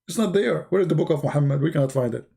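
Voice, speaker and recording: Gravelly, dark-voiced man mocking on livestream. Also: fairly high pitch, expressive, very fast. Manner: mocking, rambling, joking asides. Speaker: livestream host. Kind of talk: casual livestream chat.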